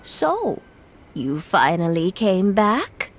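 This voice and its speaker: A woman voicing a polite, gentle elderly grandmother in a video game, reminiscing in an unhurried way. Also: medium pitch, huge pitch swings, a little hiss or hum, full character voice. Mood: gentle, wistful. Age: older.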